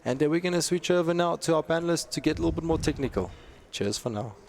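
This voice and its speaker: A man commentating on an esports football match as co-host, with lively play-by-play calls. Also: a little high, fast, gravelly, slight background noise. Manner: lively, rising excitement at chances.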